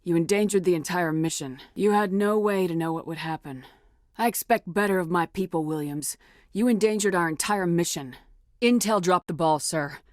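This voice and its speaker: A woman playing a tough, steady female military officer in a video game, speaking with firm, clipped authority. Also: fairly low pitch, normal speed, no echo, clean studio sound, natural voice. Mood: stern, frustrated. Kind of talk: video game dialogue acting.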